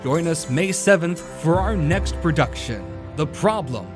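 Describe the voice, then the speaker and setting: A man's voice: fairly high pitch, lively intonation, unhurried. A male announcer reading scripted programme credits and sponsor messages in a showy radio style. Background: music.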